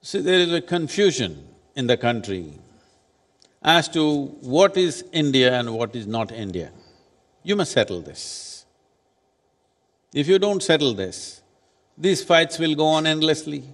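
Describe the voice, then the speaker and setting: A man in his sixties, seated on stage at a summit, answering questions in a measured, deliberate and firm way. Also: fairly high pitch, sing-song. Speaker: spiritual leader speaking as summit panelist. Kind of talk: onstage panel answer. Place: large conference hall stage. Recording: reverberant conference hall.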